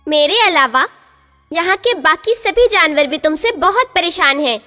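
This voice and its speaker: A very high, bright little nanny goat, played by a woman narrator who pleads and reasons in earnest. The voice is sharp and ringing, with a long reverb and soft music behind it. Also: expressive, measured pace, heavily acted. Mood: pleading. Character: polite complaining female goat.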